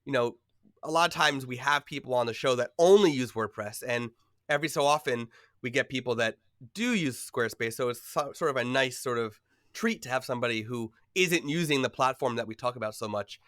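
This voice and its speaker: A man's voice: mid-range voice, brisk, a bit bright, dry recording, studio quality. A man hosting a podcast, sounding a bit nasal from a cold, chatting casually with lots of fillers and easy laughs. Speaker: podcast host.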